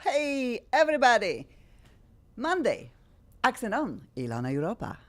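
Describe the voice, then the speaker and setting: A middle-aged woman from Poland with a Polish accent, hosting a talk show in an effusive, animated way. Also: fairly high pitch, normal speed, over music. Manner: effusive, bubbly, animated, frequent laughs. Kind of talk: excitable talk show chat.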